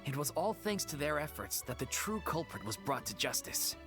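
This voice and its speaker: An earnest, humble member of a stage troupe in a game. The voice is notably deep for a woman and plainly sincere. Clear music plays underneath. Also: big pitch swings, normal speed. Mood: somber. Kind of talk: English video game dub acting. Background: clear background music.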